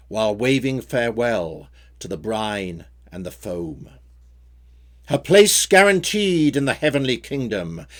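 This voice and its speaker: A man reading his own story poems in a measured, theatrical way with a rhyming cadence. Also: mid-range voice. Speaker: poet reading own work. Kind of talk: dramatic poetry recitation.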